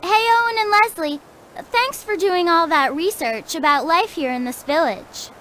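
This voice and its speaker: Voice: extremely high pitch, moderate pace, pushed and bright, faint music behind. A performer voicing an eager, determined kid adventurer in a children's educational game. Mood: upbeat, determined. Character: bright eager kid adventurer solving clues.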